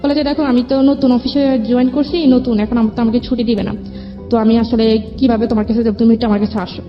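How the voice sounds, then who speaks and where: Bangladeshi female guest, highish voice, recounting personal story; dull lo-fi audio over music. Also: fairly even intonation, fast. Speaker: guest telling own life story. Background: background music.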